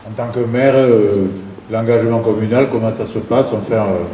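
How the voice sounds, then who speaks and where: A man asks his question from the audience in a low voice, casual and halting. He sounds far from the microphone in an echoey hall, with clear background hiss. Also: fairly even intonation, warm tone. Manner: casual, familiar, hesitant. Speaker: audience member asking a question.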